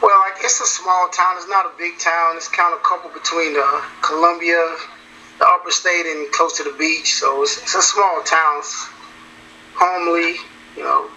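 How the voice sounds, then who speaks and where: A man from South Carolina answers interview questions in an easy, rambling way, circling back over the same points. His voice is very bright and a little high, and the recording sounds muffled with a faint hiss behind him. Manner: relaxed, repeats himself, drawn-out phrasing. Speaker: musician guest.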